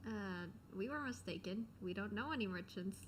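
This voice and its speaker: A woman's voice: mid-range voice, lively intonation, moderate pace, slight background noise, rough recording. A young woman giving casual, slangy gaming reaction commentary, tossing asides at the game. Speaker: gameplay streamer. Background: video game audio.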